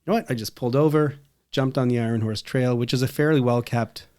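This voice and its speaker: A man's voice: medium pitch, fast talker, a little mellow. A middle-aged man with a Canadian accent, chatting casually and telling rambling anecdotes as a podcast co-host. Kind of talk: casual chat.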